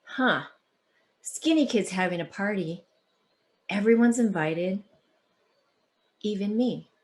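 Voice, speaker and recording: A woman's voice: mid-range voice, expressive, takes it slowly, a little mellow. A woman reading as a sullen, dismissive schoolboy bully from a picture book.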